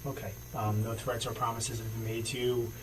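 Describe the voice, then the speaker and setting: A man's voice: mid-range voice, flat delivery, fairly quick. A male police detective asking procedural interview questions in a methodical, hesitant way. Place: indoor police interview room. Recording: noisy distant interrogation room recording.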